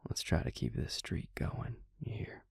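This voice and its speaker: A man playing a gentle, courteous Southern vampire in an intimate audio roleplay, with a slow, soothing drawl and Southern US accent. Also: no room sound, natural voice. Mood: wry, courteous. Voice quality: low, gravelly, breathy near-whisper. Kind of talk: intimate audio roleplay acting addressed to the listener.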